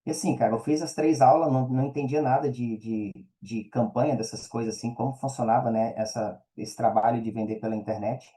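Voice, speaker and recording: A man with a very dark, soft-edged timbre and an average pitch speaks with a Brazilian accent. He is a podcast guest telling a personal story in casual, colloquial language, often adding little tag questions. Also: fairly even intonation, brisk, few pauses, low-quality recording.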